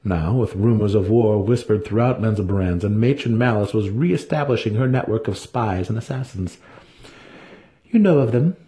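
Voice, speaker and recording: A man's voice: fairly low pitch, normal speed, hardly any pauses, warm tone. A man giving a dramatic reading of fantasy fiction as an audiobook narrator. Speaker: audiobook reader.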